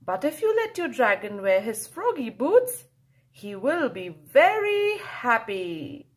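A woman storyteller reads a picture book to young children in a high, playful voice. She takes her time, stretching key words for emphasis. Her delivery swings widely and expressively from line to line. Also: very animated, slow pace, husky. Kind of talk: animated picture-book read-aloud for children.

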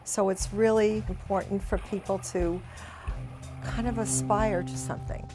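A woman's voice: medium pitch, steady tone, measured pace, mellow, soft background music. An older woman who founded a swim program for veterans speaks in a heartfelt, reflective interview in her office.

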